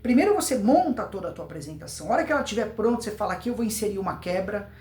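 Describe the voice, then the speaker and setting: A man's voice: high-pitched, expressive, very fast, darkish tone. A Brazilian man giving an informal public speaking lesson, emphatic, repeating words and answering his own questions. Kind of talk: informal instructional video to camera. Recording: roomy, echoing voice recording.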